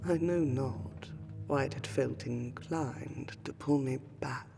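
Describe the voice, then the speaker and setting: A woman playing an exhausted, grieving, centuries-old noble lady vampire in a fantasy audio roleplay, speaking formally and haltingly, sometimes dropping to a breathy whisper. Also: rumbling low, full character voice. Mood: grieving, solemn. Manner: formal archaic diction, halting, sighing, slipping into breathy whispers. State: exhausted and pained. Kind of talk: fantasy roleplay audio drama, intimate monologue. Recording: music behind the voice. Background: music.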